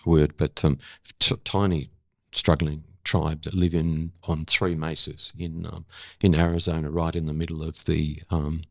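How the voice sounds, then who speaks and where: Older man, Australian accent, very low pitch, gravelly creak, close dry sound. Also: dry recording. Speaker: interview guest, former foreign correspondent. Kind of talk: dramatic spoken-word recital of own prose, then reflective conversation.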